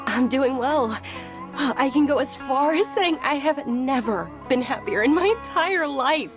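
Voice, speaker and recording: Game noblewoman: young, gentle, well-mannered lady, high register, expressive, sincere and polite. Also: unhurried. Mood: gentle, glad. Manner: courteous, sincere. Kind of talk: video game voice acting. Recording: music behind the voice.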